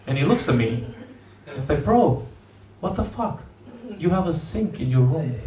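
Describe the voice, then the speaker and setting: A man with a Romanian accent, a stand-up comedian hosting an open mic, blunt and crude as he teases the audience. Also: on the high side. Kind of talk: crowd work stand-up. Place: comedy club with live audience. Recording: roomy club sound, distant mic, crowd.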